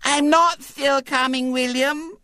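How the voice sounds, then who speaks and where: A man's voice: very high voice, steady tone, slow pace, sharp tone, dry recording, clean studio sound, pitched above the performer's own voice, heavily acted. A man voicing a self-important six-year-old girl who is insistent and plaintive, in a storybook reading. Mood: triumphant. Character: bossy, triumphant six-year-old girl, persistent and wheedling.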